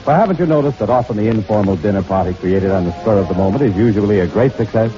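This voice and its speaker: A man's voice: lowish voice, animated, fast. A man announcing and reading sponsor copy in a polished, formal 1940s radio style. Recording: muffled 1940s radio recording, music behind.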